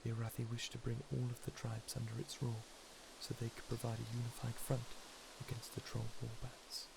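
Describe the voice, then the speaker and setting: A man narrating a fantasy story softly, in a measured, soothing ASMR style. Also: mid-range voice, vocal fry. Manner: soft, measured, soothing delivery. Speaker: storyteller and reader. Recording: steady background hiss.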